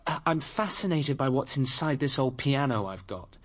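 An actor playing an earnest, insecure young composer in a radio drama, with a British accent and a hesitant manner. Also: male voice, medium pitch, animated, normal speed, close to an everyday voice.

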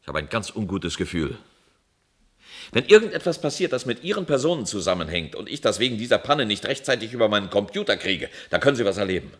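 An imperious deputy of a dystopian state in a radio play. The voice is fairly low and swings widely in pitch. He speaks curtly and with authority, suspicious of everyone beneath him. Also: very animated, fast talker. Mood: irritated, threatening. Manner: curt, commanding, suspicious. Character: imperious, distrustful male district official, a dystopian deputy. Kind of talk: German radio play acting.

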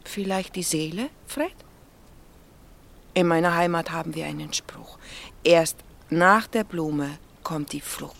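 An actress playing a gentle, earnest young female biologist with a Slovak accent, speaking softly and coaxingly in a radio drama. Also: deep voice, slow pace, vocal fry, full character voice. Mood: encouraging, earnest. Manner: soft, coaxing, breaks into whispers. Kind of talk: naturalistic radio drama dialogue.

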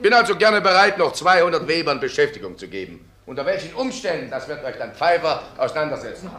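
An actor in a German radio play, playing a domineering, self-righteous mill owner who blusters and commands. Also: male voice, fairly high pitch, very animated, fast talker, a crowd in the background. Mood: self-righteous, lecturing.

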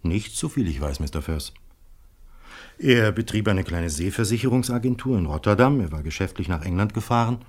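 A man acting in a 1970s German radio crime drama as a shrewd, courteous Dutch police inspector, formal and measured. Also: on the low side, animated. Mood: courteous, probing.

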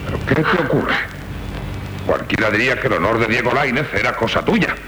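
A man's voice: medium pitch, lively intonation, fairly quick, sharp tone. Haughty, blustering Castilian nobleman, swooping voice. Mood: scornful, dismissive. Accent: Castilian Spanish. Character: proud, hot-tempered nobleman, father of a grown daughter. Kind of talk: theatrical 1970s radio drama acting. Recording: noisy old radio recording.

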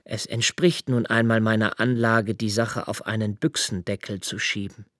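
An actor playing a brooding, solitary male lodger who muses precisely and haltingly in a literary radio play monologue. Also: fairly low pitch, steady tone, fairly bright tone, no echo, clean studio sound, close to an everyday voice. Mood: quiet, pensive. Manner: deliberate, precise, halting self-corrections. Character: brooding, obsessively observant solitary male lodger, musing alone.

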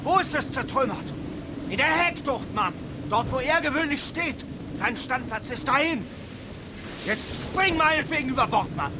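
An urgent, pleading ship's mate. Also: male voice, very high-pitched, animated, moderate pace. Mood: urgent warning. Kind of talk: radio play acting. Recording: noisy radio play recording.

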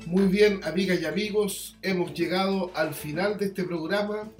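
A Chilean man hosts community radio in a formal presenting style. His voice is on the high side, recorded with noticeable room echo over soft guitar music. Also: steady tone, normal speed. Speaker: radio programme host. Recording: roomy sound with guitar music behind.

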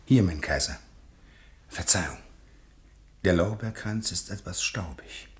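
A man's voice: deep voice, unhurried. A man playing a servile, apologetic valet to a Roman emperor in a radio drama. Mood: deferential. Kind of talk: theatrical radio drama acting.

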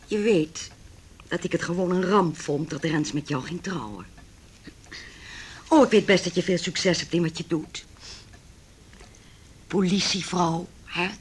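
A woman's voice: lowish voice, a little slow, pauses often, a little hiss or hum, natural voice. An actress playing a cold, wealthy middle-aged mother in a Dutch radio play, speaking in a formal, condescending, cutting way. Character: haughty, cold, wealthy mother opposing her son's marriage.

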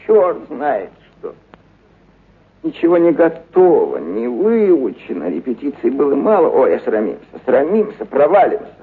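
An actor playing an anxious, affectionate circus clown and animal trainer in a radio drama, fretting and coaxing. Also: male voice, mid-range voice, husky. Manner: fretful muttering, coaxing endearments. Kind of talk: dramatised Russian classic story on radio. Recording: narrow phone-like band.

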